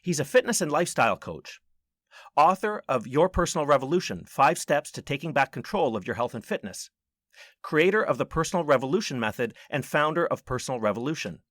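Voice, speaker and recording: A man's voice: a little high, very animated, brisk, a bit bright, no echo, studio quality. A man hosting a podcast, presenting and interviewing in a conversational but orderly way. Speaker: podcast host.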